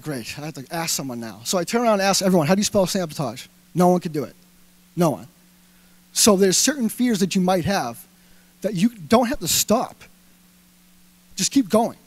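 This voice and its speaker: Boston dude on stage, pretty high voice, dry sound, keeps asking the crowd stuff, lots of um and you know. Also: male voice, big pitch swings, brisk, pauses often, slightly rough, dry recording. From Massachusetts. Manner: punchy, rhetorical questions to the crowd, filler words. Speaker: speaker at a live talk event. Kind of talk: energetic stage talk to an audience. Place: indoor event hall.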